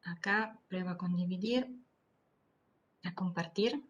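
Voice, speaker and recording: A woman with an Italian accent, Italian her first language, giving an academic lecture over a video call in a careful, measured way with frequent hesitant fillers. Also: fairly low pitch, lo-fi sound. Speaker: anthropology lecturer.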